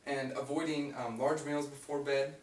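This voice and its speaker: A young man with a raspy voice giving an informative class speech, reading from notes with fillers and restarts. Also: mid-range voice, little pitch movement, normal speed, a little mellow. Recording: echoey room, mic far off, faint hum.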